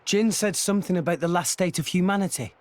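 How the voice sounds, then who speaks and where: An actor playing an eager young hero. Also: male voice, high voice, quick pace, a little mellow, no echo, lightly acted. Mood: earnest. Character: eager young male hero, earnest and blunt.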